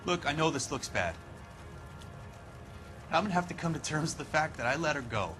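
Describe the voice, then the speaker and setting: An American actor playing a defensive, resentful husband under police suspicion in a video game, speaking plainly. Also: male voice, fairly high pitch, animated, fairly quick, pushed and bright, soft background music. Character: defensive, resentful husband suspected of killing his wife. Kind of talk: video game dramatic acting.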